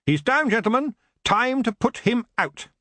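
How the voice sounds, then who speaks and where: A man voicing a calm, courteous young man who speaks formally and politely. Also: very high voice, moderate pace, no room sound, pitched above the performer's own voice, full character voice. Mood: defiant.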